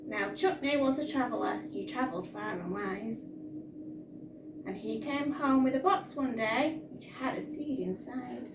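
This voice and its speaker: A woman reading a story aloud to young children in an animated, expressive storytime style. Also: a little high, a little slow. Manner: animated, addressing young listeners. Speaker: children's storytime reader, teacher. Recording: roomy, distant mic, noisy background.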